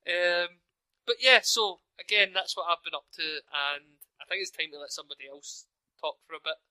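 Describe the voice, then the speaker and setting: A Glaswegian man chatting on a podcast over a computer mic. His voice is very bright and sits a little high, and the sound is dry and close. He jokes and swears freely. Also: moderate pace, no room sound, low-quality recording. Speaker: podcast co-host. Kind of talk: casual chat.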